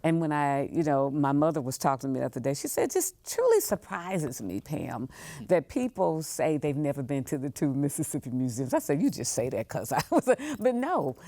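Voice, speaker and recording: A woman's voice: sits low, sing-song, normal speed, dark tone, no room sound. A woman talking as an interview guest, repeating words for emphasis. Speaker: museum leader, podcast guest. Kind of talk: conversational interview.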